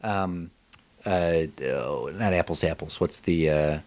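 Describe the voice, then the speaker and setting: A man co-hosting a casual comedic chat, thinking aloud and joking as he improvises pitches. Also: measured pace. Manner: jokey, thinking aloud, acts out bits. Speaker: podcast co-host.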